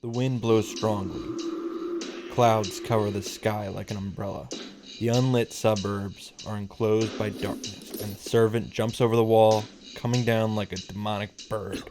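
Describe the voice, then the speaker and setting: A man's voice: on the low side, steady tone, a little slow, noisy background. Man reading as a solemn, proud young female mage. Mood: grave, tense. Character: young female mage, proud, grave, first-person story narrator. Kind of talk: dramatic reading of a visual novel.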